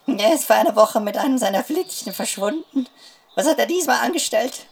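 A woman's voice: a little high, animated, a little fast, husky. A woman playing a bitter, scornful wife, snapping brusquely in a comic audio drama. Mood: scornful, irritated. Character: bitter, scornful wife fed up with cheating husband. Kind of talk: comedic audio drama acting. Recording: noisy track with clicks.

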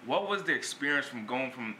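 Male interview host, eager and affirming, bright voice, roomy echo. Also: mid-range voice, animated, a little fast. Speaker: show host. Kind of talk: interview hosting. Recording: echoey room with faint ambient noise.

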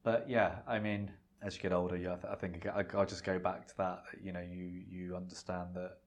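A man's voice: deep voice, flat delivery, a little fast. A middle-aged man whose first language is Punjabi, speaking as an interview guest in a reflective, rambling way with many fillers and restarts. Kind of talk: relaxed conversational interview.

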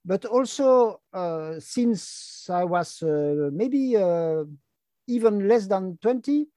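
A man in his fifties from Brussels, speaking English with a French-speaking Belgian accent, talking as an interview guest, pausing often and filling gaps with hesitations. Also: high-pitched, animated, mellow, dry recording. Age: 50s. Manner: halting, frequent hesitation fillers. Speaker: guest, longevity activist. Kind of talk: casual interview talk.

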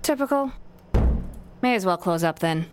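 An actress playing a deadpan young woman who fixes robots, wry and casual, in a comedy sci-fi audio drama. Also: lowish voice, moderate pace, music in the background, natural voice. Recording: clear background ambience noise.

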